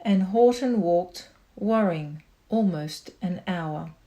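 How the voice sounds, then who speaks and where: A woman reading a children's picture book aloud in an expressive storytime style. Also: on the low side, slow pace, poor audio. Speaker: storytime reader.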